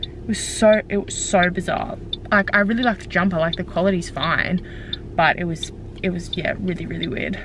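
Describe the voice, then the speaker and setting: A woman's voice: lowish voice, steady tone, moderate pace, fairly bright tone. A woman of twenty with an Australian accent, chatting informally to camera in a casual vlog. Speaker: vlogger. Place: parked car interiors and home kitchen. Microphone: handheld camera at arm's length. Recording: background noise, in car or home.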